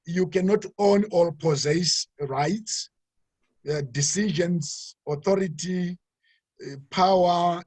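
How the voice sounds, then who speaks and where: A man's voice: fairly high pitch, a little mellow, breathy edge, no room sound. A man from Zimbabwe, a pastor, speaking in a webinar with many fillers and restarts. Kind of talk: webinar talk.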